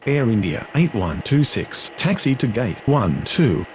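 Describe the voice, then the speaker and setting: A man with lively, varied intonation makes procedural aviation radio calls. There is faint noise behind him. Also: mid-range voice, a little slow. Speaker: pilot and air traffic controller radio voice.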